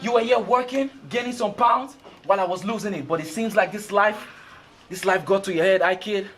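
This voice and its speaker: A man's voice: high-pitched, a little fast, a bit bright. A man performing battle rap verses in a boastful, taunting way. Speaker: battle rapper.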